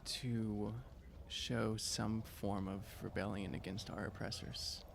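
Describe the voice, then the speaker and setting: A man chatting casually as a tabletop game player, joking in a deadpan way. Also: fairly low pitch, slow, vocal fry, a little hiss or hum. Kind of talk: casual improvised game chat.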